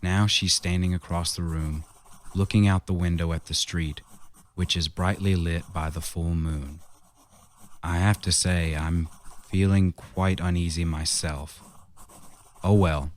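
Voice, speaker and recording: A man's voice: deep voice, fairly even intonation, unhurried. A man playing an uneasy husband in a radio horror drama, reassuring others while sounding unsettled himself. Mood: uneasy, confiding. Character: uneasy husband on a trip, keeping a diary. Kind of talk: radio horror drama acting with diary narration.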